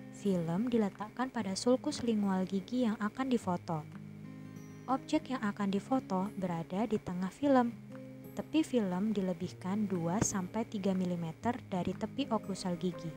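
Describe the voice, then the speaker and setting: Young female dental student narrating a demo, measured and courteous, heavy music bed underneath. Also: mid-range voice. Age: young adult. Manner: polite, step-by-step explaining, formally addresses the patient. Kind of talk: skills lab demonstration narration. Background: loud music.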